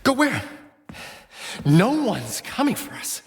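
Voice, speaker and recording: An actor playing a volatile, theatrical, high-strung male rebel in a video game, agitated and erratic. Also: huge pitch swings, takes it slowly, in a large hall, heavily acted. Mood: frantic, angry.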